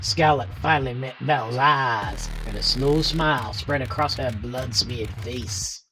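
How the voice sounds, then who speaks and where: A man's voice: medium pitch, unhurried, sharp tone. A man narrating a scripted horror story in a dramatic style. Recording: music underneath.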